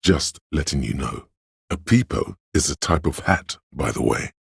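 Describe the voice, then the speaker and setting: Casting: pedantic inner narrator for a game, husky bass, erudite and pompous, measured delivery, close dry sound. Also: male voice, animated, no echo, heavily acted. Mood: lecturing, matter-of-fact. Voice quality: very deep, gravelly, husky. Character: pedantic know-it-all inner voice, a walking encyclopedia of trivia.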